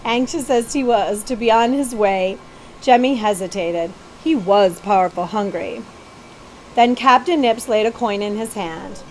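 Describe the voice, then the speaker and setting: A woman's voice: mid-range voice, a little slow, a little hiss or hum, rough recording. A woman reading a children's story aloud expressively, as a librarian would, stumbling now and then. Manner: animated storytelling with occasional stumbles and asides.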